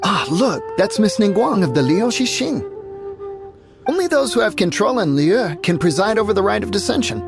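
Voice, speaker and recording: A friendly local townswoman in a video game. She is polite and chatty, with animated intonation and some creak in the voice. Music plays clearly behind. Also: mid-range voice, moderate pace. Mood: chatty, helpful. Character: friendly, courteous local festival-goer. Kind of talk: video game character acting.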